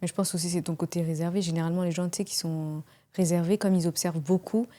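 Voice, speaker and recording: A woman's voice: on the low side, steady tone, fast talker, warm tone. A woman interviewing a guest, engaged and asking probing, reflective questions. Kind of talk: conversational interview.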